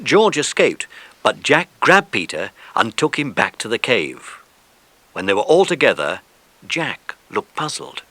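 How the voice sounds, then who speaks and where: A man's voice: mid-range voice, very animated, unhurried, bright, forceful tone, no echo. A man reading scripted narration for a children's adventure audiobook.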